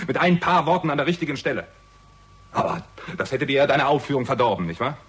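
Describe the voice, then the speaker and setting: An actor playing a sardonic, hot-tempered hard-boiled amateur sleuth in a 1960s German radio crime drama, with dry, biting delivery. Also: male voice, mid-range voice, a bit bright, breathy edge. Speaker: lead actor and first-person narrator.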